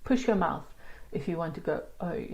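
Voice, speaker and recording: A woman with a South African accent, teaching pronunciation in a casual spoken lesson and sounding out words slowly. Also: lowish voice, expressive, darkish tone, rough recording. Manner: demonstrates sounds slowly, laughs at herself. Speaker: language teacher.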